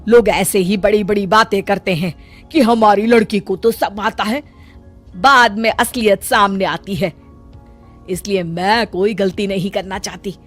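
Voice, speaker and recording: A woman's voice: mid-range voice, steady tone, unhurried, fairly bright tone, soft background music. A woman voicing a bossy, fretful middle-aged mother-in-law with a commanding manner in a cartoon story. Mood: scolding. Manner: commanding, scolding.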